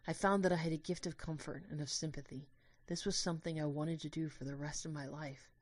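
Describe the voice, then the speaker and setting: A woman playing an anguished, devoted young narrator in an audio drama, with intense, expressive delivery. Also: low voice, dry recording, lightly acted.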